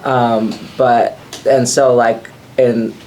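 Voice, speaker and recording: A man speaking as an artist on a panel, halting and filling his sentences with "like". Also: medium pitch, level tone, a little mellow, a little hiss or hum, low-quality recording. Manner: halting, full of "like" fillers. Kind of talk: panel discussion.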